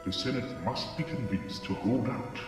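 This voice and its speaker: A man playing a calm, humble and wise alien Jedi master in a dramatic comic dub, measured and solemn. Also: mid-range voice, natural voice. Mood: calm resolve. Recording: heavy cave reverb, music behind.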